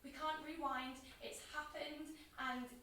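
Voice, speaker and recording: Actress playing guilt-ridden estranged friend, woman, high pitch, halting, earnest, naturalistic drama, very echoey distant recording. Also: steady tone, pushed and bright, full character voice. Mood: insistent. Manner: earnest, halting, emotionally raw. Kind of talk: naturalistic two-hander drama dialogue. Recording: distant, very echoey room with faint noise.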